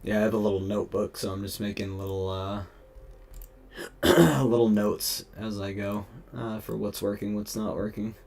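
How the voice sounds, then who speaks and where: Young man, low gravelly voice, hoarse, casual streamer chatter, headset mic, faint room hum. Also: fairly even intonation, normal speed, a little mellow. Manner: chatty, talks through each step, greets chat. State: hoarse, losing his voice. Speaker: streamer editing photos. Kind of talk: casual live-stream talk. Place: home room at a desk.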